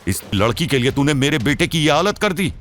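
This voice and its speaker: A man's voice: a little high, very animated, a little fast, fairly bright tone, faint music behind, pitched above the performer's own voice. A man playing an arrogant, threatening older rich father in an audio drama. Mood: menacing. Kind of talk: Hindi audio drama acting.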